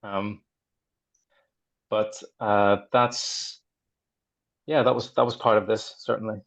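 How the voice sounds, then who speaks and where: A man from Ireland, a translator on a panel, answering thoughtfully with many pauses and restarts over a video call. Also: lowish voice, flat delivery, creaky, a bit bright. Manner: hesitant, many ums and restarts. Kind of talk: conversational answer in a panel discussion.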